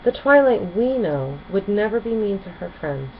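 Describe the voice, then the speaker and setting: A woman voicing a gentle, earnest young female pony, mildly protesting, in a children's storybook. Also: medium pitch, big pitch swings, normal speed, narrow, tinny audio, slight background noise.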